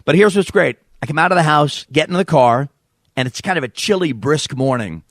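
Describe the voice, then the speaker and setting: A man's voice: fairly high pitch, a little fast, dry recording, clean studio sound. A middle-aged man hosting a podcast, telling stories in a casual chat and acting out the voices of the people in them. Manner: animated storyteller, acts out quotes. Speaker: podcast host.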